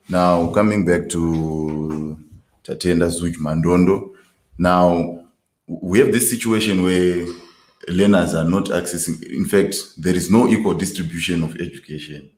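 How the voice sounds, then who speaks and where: A man moderating a panel discussion, asking measured, formal questions. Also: low-pitched, darkish tone. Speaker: host. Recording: echoey room.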